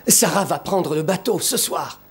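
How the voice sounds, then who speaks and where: An actor playing a gravely ill, devoted father in a French-dubbed cartoon, urgent and emotional. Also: on the high side, expressive, measured pace, exaggerated voice. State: ailing, weakened. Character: gravely ill, devoted father desperately seeking his daughter. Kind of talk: dubbed animated drama acting. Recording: voice over loud orchestral music.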